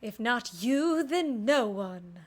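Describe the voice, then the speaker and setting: A woman game streamer giving casual live reactions, playful and expressive, talking back to the characters. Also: on the high side, slow, a little hiss or hum. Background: video game music.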